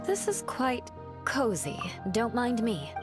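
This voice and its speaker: A woman's voice: on the high side, wildly swooping pitch, takes it slowly, music in the background, full character voice. An actress voicing a proud, competitive young woman knight in a video game, with a poised, formal, cool manner. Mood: cool, dismissive.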